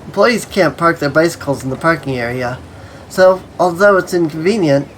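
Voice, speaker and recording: Low-voiced woman, story read-aloud, chatty asides, faint music underneath. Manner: conversational asides. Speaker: host reading a visual novel aloud. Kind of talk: reading a story aloud with casual commentary.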